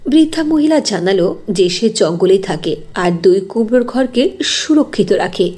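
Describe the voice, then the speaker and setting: A woman's voice: mid-range voice, animated, normal speed, mellow, breathy edge. A woman narrator reading a scripted fairy tale in a storytelling style.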